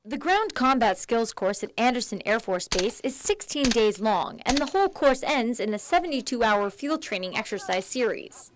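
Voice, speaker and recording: Female TV news reporter, high register, scripted reporting, faint background noise. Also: very animated, normal speed, few pauses.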